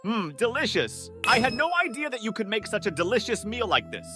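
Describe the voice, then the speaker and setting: An actor playing an earnest, easily flustered young student butler in an anime-style romantic comedy dub, polite and devoted. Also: male voice, sits high, big pitch swings, brisk, music in the background.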